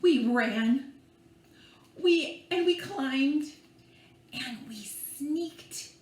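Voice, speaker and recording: A woman voicing an excitable storybook child in a melodramatic, gasping, drawn-out way. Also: high voice, exaggerated voice. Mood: breathless alarm. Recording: echoey room with faint background noise.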